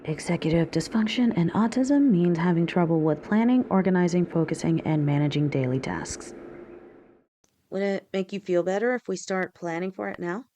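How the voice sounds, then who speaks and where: A woman voicing a gentle, protective snake-woman girlfriend who talks softly and reassuringly to her partner in an audio roleplay. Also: fairly low pitch, lively intonation, normal speed, slight background noise, natural voice. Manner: soft, reassuring, speaks directly to a partner.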